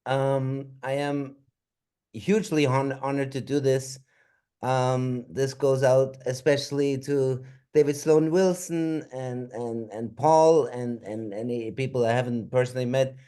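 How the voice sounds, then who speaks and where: A man's voice: mid-range voice, fairly even intonation, a little slow, warm tone, smooth. A middle-aged man from Austria, an anthropologist, giving a webinar talk over a video call, with many ums and restarts. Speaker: anthropologist presenting his book.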